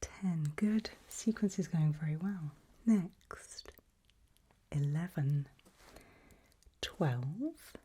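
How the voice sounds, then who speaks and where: A woman doing a whispered ASMR doctor roleplay, speaking breathily in gentle, reassuring, halting short phrases close to the microphone. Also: deep voice. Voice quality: breathy, mostly unvoiced whisper. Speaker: ASMR performer role-playing a doctor giving a memory test.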